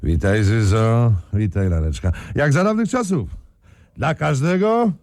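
An actor playing a calm, commanding leader of an ex-commando gang in a radio crime play, self-assured and giving orders. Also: male voice, medium pitch, a little slow, dry recording, studio quality. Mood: jovial, welcoming.

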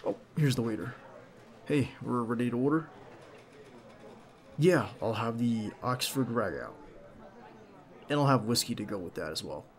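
Creaky-voiced, hesitant bodyguard on a date acted by a man. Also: mid-range voice, expressive, moderate pace, long pauses, natural voice. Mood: relaxed, teasing. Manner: soft, hesitant, gently teasing. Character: nervous, devoted young male bodyguard on a date. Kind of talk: ASMR roleplay acting, intimate one-sided dialogue.

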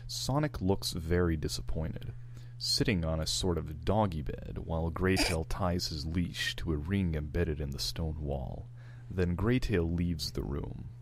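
A man's voice: sits low, a little slow, slight background noise. A man reading scripted narration for a fan-fiction screenplay.

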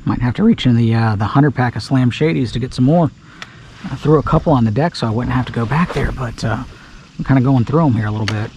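Laid-back guy narrating his fishing from a kayak, lots of uhs, action cam outdoors, clear background noise. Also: mid-range voice, quick pace. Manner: relaxed, chatty, many filler words. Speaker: fishing guide. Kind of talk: casual fishing commentary. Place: kayak on a backwater creek, outdoors. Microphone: action camera on the kayak. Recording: outdoor camera mic, audible background noise.

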